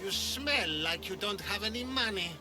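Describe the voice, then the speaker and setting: Male actor as shifty, scornful game swindler, strikingly high and excitable, over loud score. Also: normal speed, music in the background, lightly acted. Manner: sneering, excitable. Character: small-time male swindler, scornful, defiant, cowardly when hurt. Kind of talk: video game cutscene acting.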